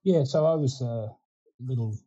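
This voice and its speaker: A man's voice: medium pitch, moderate pace, dull audio. A man in his sixties with an Australian accent, a Ukrainian first language, chatting loosely as an interview guest with many fillers. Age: 60s. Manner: relaxed and rambling, many fillers and restarts. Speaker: guest, winemaking consultant. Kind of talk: casual interview chat.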